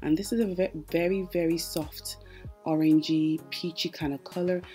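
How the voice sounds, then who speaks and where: A woman's voice: sits low, fairly even intonation, moderate pace, warm tone. A woman giving a casual makeup tutorial voice-over as host, chatty and explanatory, speaking directly to her viewers. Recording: music playing behind the voice. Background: background music.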